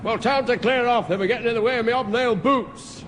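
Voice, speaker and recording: A man's voice: extremely high pitch, brisk, a bit bright, slight background noise. A man playing a blunt, jokey building-site foreman with a Northern English accent in a radio sitcom. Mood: brisk, blunt. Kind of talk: 1960s radio sitcom acting. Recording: reverberant old radio recording.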